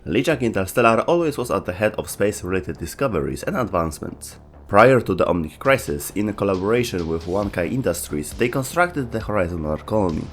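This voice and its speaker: Male narrator, low voice, animated delivery of scripted storytelling, faint music underneath. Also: a little fast. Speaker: narrator of a game lore video.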